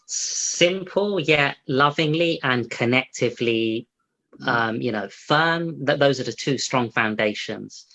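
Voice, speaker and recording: A man's voice: medium pitch, animated, slow, pushed and bright, low-quality recording. A man hosting a live interview over a video call, talking loosely and restarting his sentences as he goes. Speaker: host.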